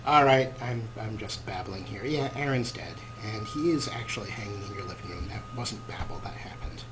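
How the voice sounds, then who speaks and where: Wry, animated man doing let's-play commentary. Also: lowish voice, moderate pace, heavy background noise. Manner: wry, rambling, giggly. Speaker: gaming show host.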